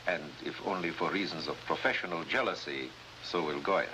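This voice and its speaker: An actor playing a formal, cautious head of government, in measured 1950s drama style. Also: male voice, low voice, close to an everyday voice. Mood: grave, cautious. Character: grave, formal Latin American head of government. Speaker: actor in a supporting role. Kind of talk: 1950s spy drama acting. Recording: noisy vintage 1950s broadcast recording.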